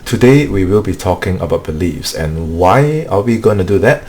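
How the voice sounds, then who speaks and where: A low-voiced man leads a motivational live-stream training for remote sales closers. He talks earnestly and repeats himself, dropping "okay" between points. A faint hum sits under the voice. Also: expressive, moderate pace, a little mellow. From Singapore. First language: not English. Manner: earnest, repetitive, peppers speech with "okay".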